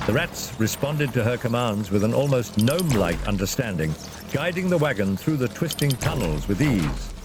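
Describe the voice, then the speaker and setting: A man narrates a dramatic fantasy tale with a lively, widely moving pitch. His voice sits at a middle register. Clear vehicle rumble and ambient effects play behind him. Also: moderate pace.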